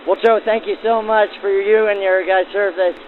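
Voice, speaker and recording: A man's voice: high voice, steady tone, normal speed, phone-quality sound, slight background noise. A man working as host and interviewer, presenting in the manner of a 1940s radio reporter. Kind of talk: scripted narration and field interviews backdated to 1940s radio. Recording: band-limited 1940s radio-style sound.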